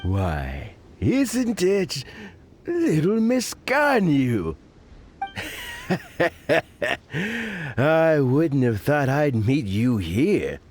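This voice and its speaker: An actor playing a kindly, familiar old man with a slow, drawn-out manner in a game. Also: a little high, wildly swooping pitch, slight background noise, exaggerated voice. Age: older.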